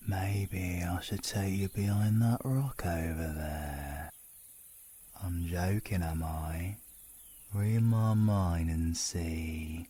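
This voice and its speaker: Male actor as cocky British supervillain for audio roleplay: gruff, sardonic, husky, unhurried, teasing the listener up close. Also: deep voice, warm tone, exaggerated voice. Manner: gruff, sardonic, swearing banter.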